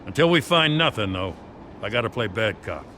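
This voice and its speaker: A weary, honest American police lieutenant in a dramatic video game. He is middle-aged, with a low voice and a blunt, plainspoken delivery. Faint ambient noise runs behind him. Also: sing-song, normal speed, sharp tone. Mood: firm, blunt.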